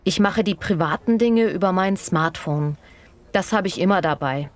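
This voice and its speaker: Actress as practical female survey respondent, lowish register, considered and factual, scripted learner-dialogue delivery. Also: moderate pace, natural voice. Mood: matter-of-fact. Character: practical working woman stopped for a street survey. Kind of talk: scripted language-lesson dialogue.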